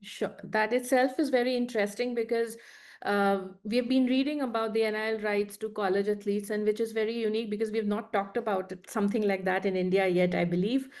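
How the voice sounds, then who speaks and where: A woman's voice: mid-range voice, flat delivery, brisk, darkish tone. Female moderator of an academic panel, hesitant with many fillers, heard over a video call.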